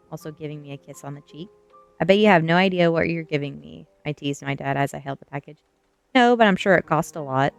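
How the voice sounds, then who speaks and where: A woman streamer with a soft, gentle delivery, reading a book aloud in an ASMR style and chatting casually to viewers. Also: low-pitched, clean tone. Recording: quiet music behind the voice. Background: faint background music.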